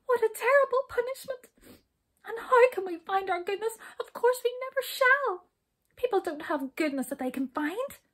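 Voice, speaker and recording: A woman's voice: animated, normal speed. A woman voicing a small, naughty male brownie in a children's story, timid, plaintive and tearful. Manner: plaintive, wailing, high-pitched pleading.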